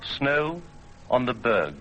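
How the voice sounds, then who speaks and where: A man with a medium-pitched voice tells a scripted story for radio. His delivery is measured and literary. The vintage recording is slightly dull. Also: sing-song, fairly bright tone, a little hiss or hum. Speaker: storyteller and producer.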